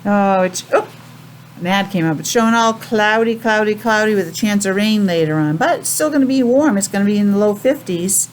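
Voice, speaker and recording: An American woman teaching young children a simple lesson in a sing-song, questioning way with pauses. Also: mid-range voice, a little hiss or hum, rough recording. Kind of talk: children's calendar and weather lesson.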